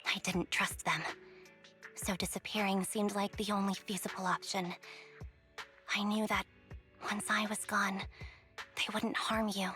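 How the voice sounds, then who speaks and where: An actress playing a remorseful young woman soldier and former squad captain in a video game, speaking softly and haltingly with music behind her. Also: medium pitch, little pitch movement. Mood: subdued, resigned. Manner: soft, measured, halting, subdued. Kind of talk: dramatic video game voice acting.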